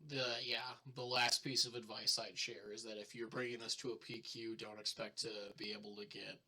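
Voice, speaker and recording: A man's voice: fairly low pitch, level tone, normal speed, pushed and bright, slightly rough. A man chatting casually about card game strategy as the co-host of a channel. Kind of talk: casual deck discussion.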